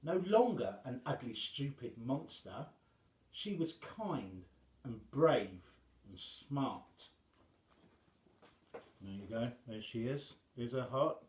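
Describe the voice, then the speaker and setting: A man reading a rhyming children's story aloud as an expressive storyteller. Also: mid-range voice, slow, long pauses, reverberant.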